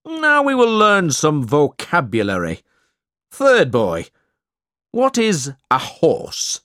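A man doing a loud, bullying schoolmaster. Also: on the high side, huge pitch swings, takes it slowly, dry recording, clean studio sound, voice pushed up, exaggerated voice. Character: cruel, boastful male schoolmaster, middle-aged. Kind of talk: audiobook character reading.

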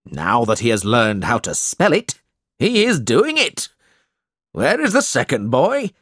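A man reading aloud puts on a cruel schoolmaster from a storybook, all bluster and threats, his voice raised well above the narration and swinging wildly up and down. The delivery is slow and heavy, and it sounds dry and close, with no room around it. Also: mid-range voice, wildly swooping pitch, slightly rough, no echo, exaggerated voice. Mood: bullying. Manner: loud, blustering and bullying. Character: cruel, boastful male schoolmaster, middle-aged. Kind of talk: audiobook character reading.

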